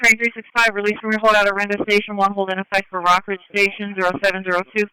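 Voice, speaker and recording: Man, high pitch, very sharp bright tone, terse bulletins, two-way radio. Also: completely flat delivery, rapid-fire, clear, resonant tone, poor audio. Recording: transit radio transmission.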